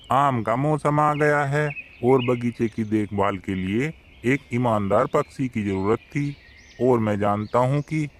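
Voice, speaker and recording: A man voicing an elderly, kindly pigeon uncle in a cartoon moral story, with an avuncular, measured manner. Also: medium pitch, slight background noise, lightly acted.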